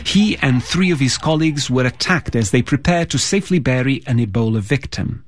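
A man reading a scripted news report in a serious, measured tone. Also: mid-range voice, expressive, no echo, studio quality.

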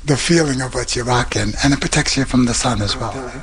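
A man's voice: mid-range voice, brisk. A man who runs a menswear shop, talking casually in an interview.

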